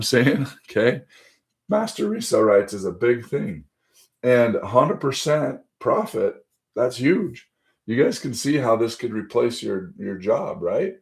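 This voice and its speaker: A man hosting a casual phone livestream, pitching a side business to viewers, his voice a little hoarse from being under the weather. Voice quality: conversational, addresses viewers directly, frequent fillers. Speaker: livestream host.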